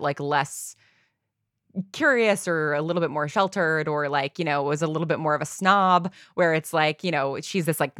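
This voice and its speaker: Lowish American woman, dry close sound. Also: fairly bright tone, no room sound. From New York, USA. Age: 30s. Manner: rambling, conversational, self-reflective. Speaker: podcast guest, audio drama writer. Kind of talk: casual interview chat.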